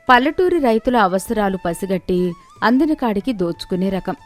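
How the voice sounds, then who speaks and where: A woman reading scripted narration for a moral story as its storyteller. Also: mid-range voice.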